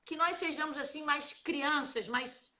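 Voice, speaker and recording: A middle-aged Brazilian woman, an English teacher chatting on a live stream, lively and fond of acting out little scenes. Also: high voice, measured pace, over a noisy crowd. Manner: animated, acts out little scenes with quoted voices. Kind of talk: casual live chat.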